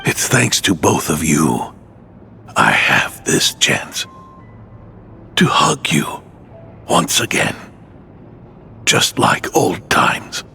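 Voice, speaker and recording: Dying fatherly knight, very slow, hugely varied intonation, breathy, tender, game drama. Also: extremely low pitch, big pitch swings, bright, forceful tone, exaggerated voice. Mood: tender, weary. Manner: tender, halting, sighing delivery. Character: weary gentle dying knight, fatherly mentor to two youths. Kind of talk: dramatic video game voice acting. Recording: music under the voice.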